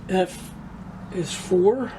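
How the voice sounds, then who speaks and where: A man giving a casual hands-on demonstration to viewers as a hobbyist, haltingly searching for words and repeating himself. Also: high-pitched, background noise. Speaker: radio restoration hobbyist presenting to viewers.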